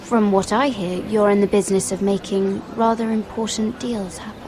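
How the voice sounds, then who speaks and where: A woman playing a shrewd young noblewoman in a fantasy game, speaking politely and with measured care. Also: medium pitch, fairly even intonation, a crowd in the background, close to an everyday voice. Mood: probing, persuasive. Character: poised, shrewd young noblewoman scheming at court. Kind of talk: fantasy video game acting. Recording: music behind the dialogue.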